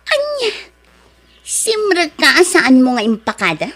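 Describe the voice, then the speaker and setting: A woman's voice: high voice, huge pitch swings, unhurried, fairly bright tone. A middle-aged woman with an Ilocano accent acting a proud, scornful rich mother in an audio drama. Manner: haughty, scornful, dismissive.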